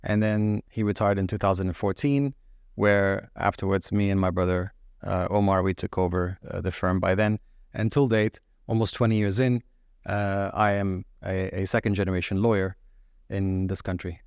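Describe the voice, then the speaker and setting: A middle-aged man from the UAE speaks with a low, gravelly voice. He answers like a practiced lawyer, measured and steady, though he often stops on fillers and restarts phrases. The sound is dry and close. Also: no echo. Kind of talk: podcast interview answers.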